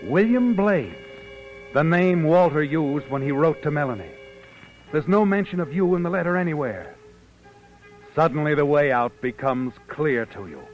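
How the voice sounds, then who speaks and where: An actor playing a knowing, insinuating narrator who taunts like a conscience, in dramatic 1940s radio suspense style. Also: male voice, fairly high pitch, lively intonation, normal speed, close to an everyday voice. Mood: knowing, ominous. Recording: dull old radio broadcast with music.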